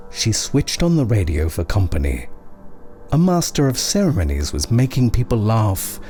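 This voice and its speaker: A man reading a horror short story as dramatic, suspenseful narration, measured and full of pauses. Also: low-pitched, a little mellow.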